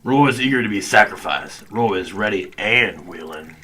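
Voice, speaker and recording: An actor playing a cocky, simple-minded space adventurer who talks about himself by name in a comedic radio drama. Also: male voice, on the low side, lively intonation, normal speed, sharp tone, breathy edge, heavily acted. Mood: eager. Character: cocky, dim, pleasure-seeking space adventurer who speaks in third person.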